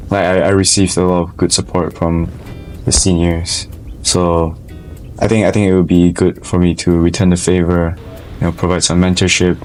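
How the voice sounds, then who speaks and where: A young man with a Malaysian accent, a college student telling his own story in an interview, thoughtful and often restarting his sentences. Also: sits low. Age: young adult. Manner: thoughtful, with frequent restarts and hedges. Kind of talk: personal interview testimony.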